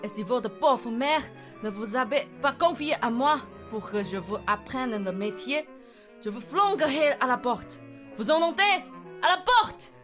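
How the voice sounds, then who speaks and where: A woman's voice: a little high, animated, unhurried, soft background music. A young woman voicing a bossy, theatrical male pickpocket boss in a French farce radio play, indignant and melodramatic. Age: young adult. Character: bossy, theatrical male pickpocket gang leader, scolding his apprentices.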